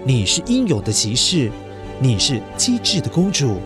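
A man narrates a children's story in an expressive, dramatic way, with exclamations and sound words. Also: mid-range voice, moderate pace. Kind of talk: animated scripted children's storytelling. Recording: music behind the voice. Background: music.

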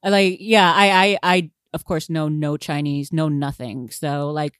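Brief: lively woman, lower voice, casual movie podcast talk, dry close mic. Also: unhurried, clear, resonant tone, dry recording. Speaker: podcast co-host. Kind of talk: casual film discussion.

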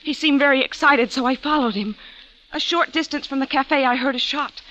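Bright, earnest young woman. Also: high voice, normal speed. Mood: anxious recounting. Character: earnest, defiant young woman, potter's daughter under suspicion. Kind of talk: old-time radio drama acting. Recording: muffled vintage radio recording, faint noise.

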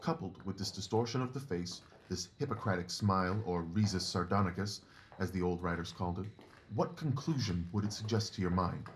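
A man's voice: sits low, fairly quick, slight background noise, close to an everyday voice. A man playing a confident, analytical Victorian detective in an audio drama, assured, precise and didactic in his talk. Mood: keen, instructive.

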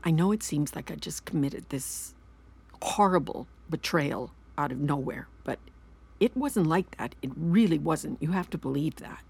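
An actress playing an anxious, regretful middle-aged mother in an audio drama, halting and justifying herself as she confesses. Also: deep voice, expressive, gravelly, darkish tone, husky, heavily acted. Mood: pleading, subdued. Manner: halting, self-justifying, repeats and trails off. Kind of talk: naturalistic audio drama acting.

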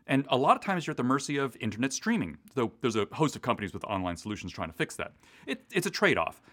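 A man co-hosting a podcast as a director, explaining things in measured phrases in a conversational, loosely scripted style. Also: mid-range voice, very animated, a bit bright. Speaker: audio drama director, co-host.

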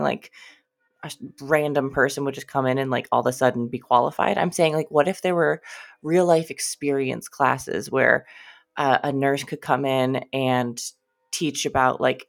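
A woman's voice: a bass voice, vocal fry. A young American woman hosting her podcast, talking casually and rambling with lots of fillers and swearing, sounding stuffy and nasal from a cold. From United States. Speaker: podcast host. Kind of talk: casual solo podcast monologue.

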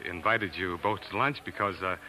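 A man's voice: sits low, fairly quick, bright, forceful tone, low-quality recording. An actor playing an earnest young male science student and narrator in a 1940s radio drama, sometimes hesitant. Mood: flustered. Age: young adult. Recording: vintage radio with musical underscore.

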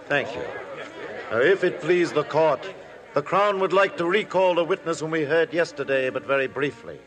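An actor playing a sharp male Crown prosecutor in a 1950s radio drama, probing and sarcastic in his questioning. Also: fairly high pitch, expressive, moderate pace, a faint crowd. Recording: vintage radio broadcast, long hall reverb.

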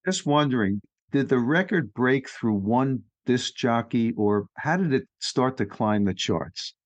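A man's voice: medium pitch, expressive, measured pace, no echo. A chatty man from New York hosts a podcast, leaning into his questions and opinions. His voice comes through a dull, lo-fi line. The sound is dry, with no room around it. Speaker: podcast host. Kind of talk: podcast interview.

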